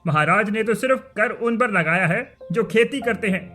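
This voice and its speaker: A woman's voice: medium pitch, expressive, normal speed, a bit bright, natural voice. A woman playing a humble, protective village hunter in a cartoon story, polite and questioning. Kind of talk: Hindi cartoon story acting. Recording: music under the voice.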